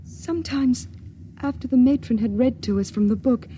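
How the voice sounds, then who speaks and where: A woman voicing a timid young woman in a vintage radio play, soft and pleading. Her voice is mellow and somewhat high, with faint broadcast hiss behind it. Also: moderate pace, close to an everyday voice. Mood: tender. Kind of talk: 1950s radio drama acting.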